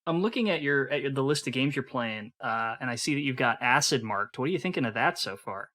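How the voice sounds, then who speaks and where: Very deep-voiced podcast host. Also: female voice, expressive, brisk, fairly bright tone. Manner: curious, asks questions. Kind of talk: casual podcast chat.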